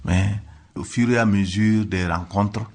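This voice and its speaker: A man's voice: lowish voice, steady tone, a little slow, darkish tone. A man, an official explaining things formally in an interview.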